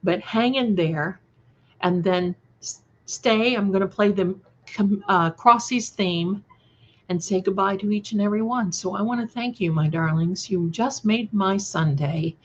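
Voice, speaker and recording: A woman hosting a casual livestream, chatting to viewers in an affectionate, effusive and somewhat halting, scattered way. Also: medium pitch. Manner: affectionate, effusive, addressing listeners with endearments. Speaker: livestream host and creator. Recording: dull, lo-fi livestream audio.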